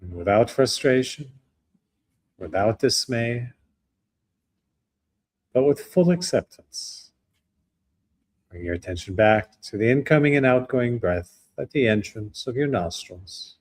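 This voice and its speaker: A man guiding a breathing meditation, speaking slowly and calmly with long pauses. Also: mid-range voice. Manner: slow, calm, with long pauses. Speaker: meditation guide.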